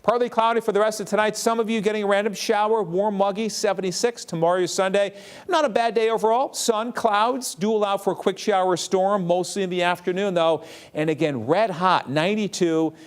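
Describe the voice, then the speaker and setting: A man delivering a TV weather forecast in a brisk, upbeat forecaster style. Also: high voice, steady tone, smooth. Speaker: TV meteorologist.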